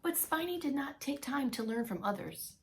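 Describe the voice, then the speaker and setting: A woman reading a story aloud to young children as a preschool teacher, expressive, with playful asides. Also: high voice, moderate pace, vocal fry, background noise. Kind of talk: animated read-along children's storytelling.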